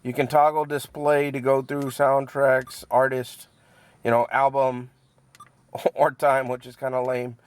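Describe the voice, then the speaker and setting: A man giving a casual, unscripted product review, halting as he thinks aloud and repeats words, in a matter-of-fact, mildly critical way. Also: medium pitch, level tone, clean tone, dry recording. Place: inside a truck cab.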